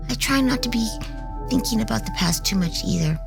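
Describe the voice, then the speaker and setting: A woman's voice: fairly high pitch, lively intonation, a little fast, gravelly. A woman playing a candid, curious little girl with a hesitant, childlike manner in an audio drama. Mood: wistful. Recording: music under the voice.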